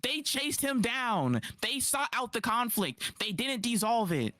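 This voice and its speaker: A man doing casual livestream reaction commentary, incredulous and quick to rebut, on a close mic. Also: extremely high pitch, no echo. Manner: animated, incredulous, rapid rebuttals.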